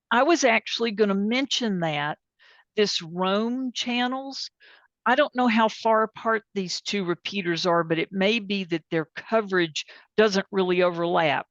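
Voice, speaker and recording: A woman's voice: medium pitch, no room sound. Plainspoken woman, dull close-up sound. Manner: plainspoken, candid about what she doesn't know. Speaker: presenter and tech support. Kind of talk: informal software demonstration with questions. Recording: dull, band-limited sound.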